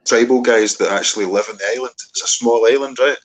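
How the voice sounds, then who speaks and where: Husky man bantering. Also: medium pitch, fast, low-quality recording. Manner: teasing, banter. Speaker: caller in group chat. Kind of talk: casual group chat.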